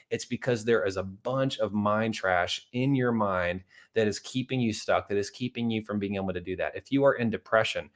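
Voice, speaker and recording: Earnest man preaching money and faith. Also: medium pitch. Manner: earnest, conversational, with many asides to "guys"; acts out little dialogues and tells anecdotes. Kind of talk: motivational video talk to viewers.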